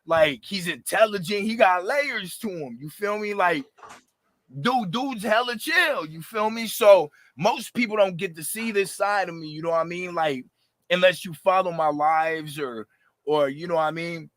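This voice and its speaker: Raspy animated man, bright tense voice. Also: high-pitched, normal speed, dry recording. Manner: animated, passionate, slangy, lots of fillers. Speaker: rapper, interview guest. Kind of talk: casual interview talk.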